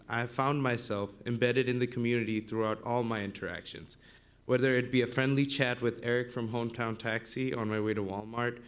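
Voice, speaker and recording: Young man from Bangladesh, student rep, reads a measured formal address in a council chamber. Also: medium pitch. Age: 20s. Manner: formal, measured delivery of a written speech. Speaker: student representative. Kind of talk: prepared public address.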